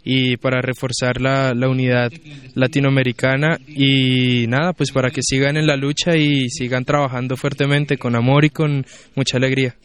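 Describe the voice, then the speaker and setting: A man sending brisk greetings in a level delivery. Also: mid-range voice, flat delivery. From Colombia.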